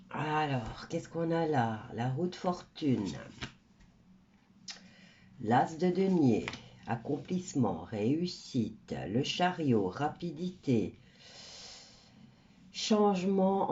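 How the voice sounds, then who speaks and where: A woman's voice: deep voice, mellow, highs cut off, slight background noise. A woman with a stuffy cold doing a casual tarot reading for viewers, chatty and rambling with many hesitations. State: stuffy nose from a cold, coughing. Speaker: tarot card reader.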